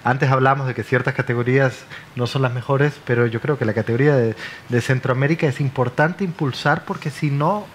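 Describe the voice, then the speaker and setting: A man speaking on a literature panel in a measured way, often checking in with tag questions. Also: medium pitch, slight background noise.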